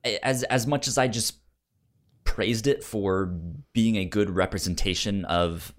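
A man in a casual film debate as a co-host, talking haltingly and often stammering and repeating words. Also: fairly low pitch.

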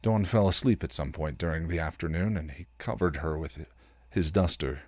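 Deep-voiced man narrating a story. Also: normal speed, dry recording. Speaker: podcast host reading fan fiction.

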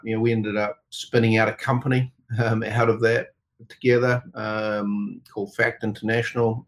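A middle-aged man with a New Zealand accent answering interview questions in a halting, rambling way full of "you know"s. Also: on the low side. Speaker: interview guest, tech company co-founder.

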